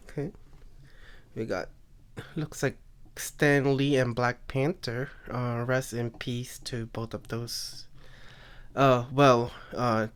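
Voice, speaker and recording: Slow, congested man hosting a card vlog. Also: mid-range voice. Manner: hesitant, lots of ums and uhs. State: congested, phlegmy, throat-clearing. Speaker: card collecting channel host. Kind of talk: casual card review vlog.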